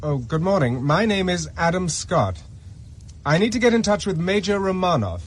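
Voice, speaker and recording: A man's voice: high-pitched, very animated, normal speed, breathy edge, full character voice. A man with a British accent playing a resourceful, wary former army captain on the run in a radio drama. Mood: firm, bargaining.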